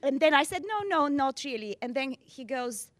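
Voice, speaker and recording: A woman's voice: high voice, moderate pace, a bit bright, no echo. A middle-aged woman from Bulgaria, introducing herself to colleagues in a chatty, self-deprecating way and laughing often. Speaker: library director. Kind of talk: self-introduction at a staff gathering.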